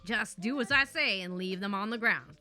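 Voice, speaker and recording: A woman's voice: medium pitch, normal speed, sharp tone, soft background music. A woman voicing a bossy, brusque male internal auditor who brushes off every concern. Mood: dismissive. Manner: curt, dismissive.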